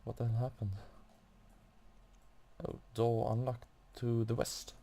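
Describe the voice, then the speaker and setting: Slow, halting male gamer. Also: mid-range voice, completely flat delivery, dark tone. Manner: halting, thinking aloud. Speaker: video game player making a playthrough. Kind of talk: casual game commentary.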